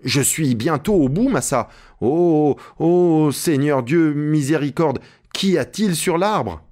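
A man's voice: fairly high pitch, lively intonation, slow, darkish tone, pitched above the performer's own voice. A man voicing a timid, naive, deferential male servant calling out plaintively in a storybook. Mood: alarmed, calling out.

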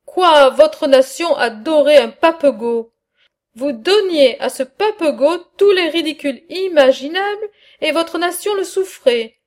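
A woman reading aloud the part of a wry ancient male satirist in a philosophical dialogue, in a measured, earnest way. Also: high voice, darkish tone.

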